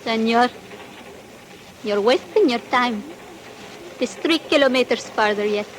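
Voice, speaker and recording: An actress playing a fiery, defiant young Mexican woman who speaks sharp, broken English with a Mexican Spanish accent in a 1940s radio drama. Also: fairly high pitch, a little slow, a little hiss or hum, lightly acted, rough recording. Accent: Mexican Spanish (acted).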